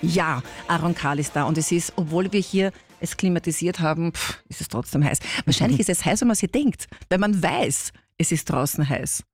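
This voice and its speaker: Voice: medium pitch, animated, normal speed, hardly any pauses, slightly rough, no echo, faint music behind. A radio host, chatty and teasing the guest, laughing often. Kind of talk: casual radio interview. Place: radio studio.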